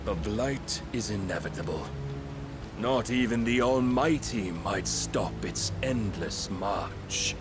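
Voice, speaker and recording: Menacing godly herald, low voice, measured archaic delivery, pompous, fantasy game cutscene, clear music behind. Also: male voice. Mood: ominous, scornful. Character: grave, menacing godlike male servant of a divine master.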